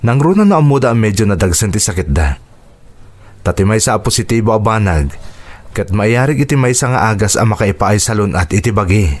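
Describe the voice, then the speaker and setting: Upbeat fatherly doctor, Ilocano accent, animated intonation, warm and encouraging, radio drama. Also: lowish voice, fairly quick. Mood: cheerful, encouraging. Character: kindly, upbeat male doctor and devoted father.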